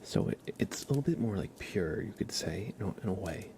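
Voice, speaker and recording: Low, mellow, gravelly man speaking softly. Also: lively intonation, fairly quick, slight background noise. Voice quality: breathy, often dropping into whisper. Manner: gentle, rambling, informal. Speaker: ASMR livestream host. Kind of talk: soft-spoken ASMR, casual live chat.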